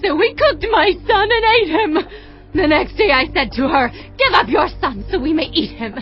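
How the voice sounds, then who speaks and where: A woman's voice: very high-pitched, animated, normal speed, dull audio, faint music behind. An actress playing a starving, grief-stricken woman who wails and cries out to the king. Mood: anguished. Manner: wailing, crying out.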